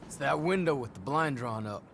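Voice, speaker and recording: Serious young game character: guarded, understated, mid-pitched, slight ambience behind. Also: male voice, animated, moderate pace, gravelly, a bit bright, a little hiss or hum. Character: earnest, guarded young man seeking justice. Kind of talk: video game cutscene acting.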